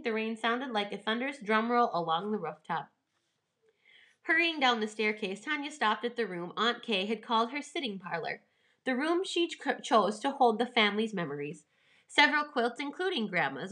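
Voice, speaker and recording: A woman's voice: on the high side, lively intonation, brisk, pushed and bright. A woman storyteller reading a children's storybook aloud with expression.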